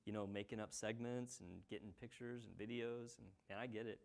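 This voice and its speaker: Brisk-paced man in his forties, a surgeon hosting a laid-back chat. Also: lowish voice, fairly even intonation, creaky. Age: 40s. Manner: quick, rambling, many fillers. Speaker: plastic surgeon and podcast host. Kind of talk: casual chat.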